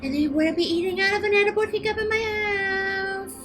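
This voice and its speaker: A woman's voice: extremely high pitch, steady tone, fairly quick, music in the background. A woman voicing a chatty, flighty, easily indignant little fairy in an improvised tabletop game. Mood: breezy.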